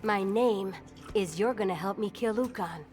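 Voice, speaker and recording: A woman's voice: medium pitch, moderate pace, a little mellow, soft background music, natural voice. Woman acting a guarded, defiant young survivor. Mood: curt, defiant. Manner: curt, guarded, hard-edged. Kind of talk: video game cutscene acting.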